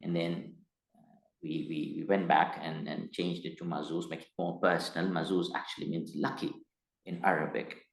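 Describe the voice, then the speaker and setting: A middle-aged man from Tanzania, speaking as an interview guest in a measured, hesitant way that turns emphatic. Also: low-pitched, level tone, creaky. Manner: measured, hesitant with frequent uh fillers, grows emphatic. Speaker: guest, company executive. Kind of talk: interview conversation. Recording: roomy echo.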